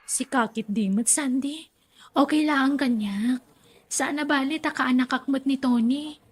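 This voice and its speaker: A devoted young aunt with a clearly high voice. She speaks in Ilocano radio-drama style with an Ilocano accent, dutiful and self-sacrificing. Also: a little slow. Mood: patient.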